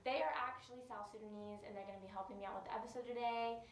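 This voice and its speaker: A woman's voice: a little high, steady tone, very fast. Bubbly woman host in an echoey room. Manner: bubbly and enthusiastic. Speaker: show host. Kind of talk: upbeat vlog hosting and interviewing. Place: a friend's house, indoors. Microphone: camera mic away from the speaker. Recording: echoey room, distant mic, faint noise.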